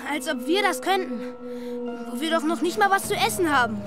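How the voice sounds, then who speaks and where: A performer with a high voice playing a sulky, sceptical, needling young boy who grumbles and challenges, in a German audio drama. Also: animated, fairly quick, music in the background.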